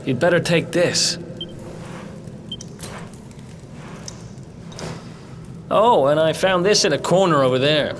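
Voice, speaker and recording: A man plays a roguish young prisoner in a game cutscene. He talks informally and wryly in a slightly high voice. There is clear background noise around him. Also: moderate pace, close to an everyday voice. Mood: matter-of-fact. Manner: wry, informal, conversational. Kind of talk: video game cutscene acting.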